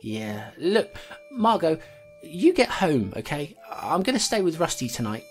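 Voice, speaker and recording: A woman's voice: low-pitched, sing-song, unhurried, soft background music. A woman playing a determined constable who talks bluntly and reasons things out aloud.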